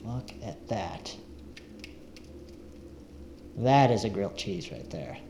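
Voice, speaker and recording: A man's voice: fairly low pitch, very animated, takes it slowly, mellow. A man talking casually and unhurriedly, as if narrating his own camping and cooking vlog. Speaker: found-footage vlogger, narrator. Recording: roomy echo, faint background noise.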